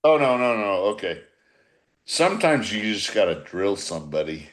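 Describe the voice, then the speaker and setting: A man's voice: on the low side, big pitch swings, moderate pace. An older man in a casual, profane group chat on a video call, reminiscing like an old baseball manager with blunt, teasing banter.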